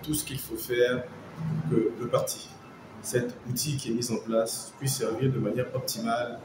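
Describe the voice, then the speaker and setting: A man's voice: mid-range voice, level tone, normal speed, noisy background. Man urging supporters, echoey room. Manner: formal, exhortative. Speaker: party member interviewed. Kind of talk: rallying statement to camera.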